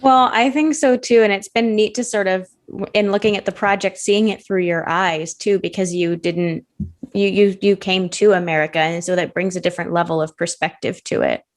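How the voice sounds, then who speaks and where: A woman's voice: mid-range voice, fairly even intonation, moderate pace. A woman hosting a casual conversational interview, speaking in an affirming, encouraging way. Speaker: podcast host, interviewer.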